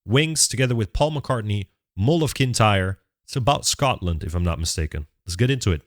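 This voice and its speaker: Low-voiced young man. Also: animated, brisk. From Netherlands. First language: Dutch. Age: 20s. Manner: thinks aloud, restarts words mid-sentence. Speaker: music reaction channel host. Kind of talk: casual reaction commentary.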